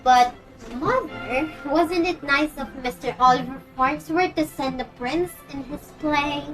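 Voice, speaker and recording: Teenage girl as a high-voiced naive daughter. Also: a little slow, a bit bright, faint music behind, poor audio. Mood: gentle, hopeful. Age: teens. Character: mild, naive young society daughter. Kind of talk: amateur stage-play acting.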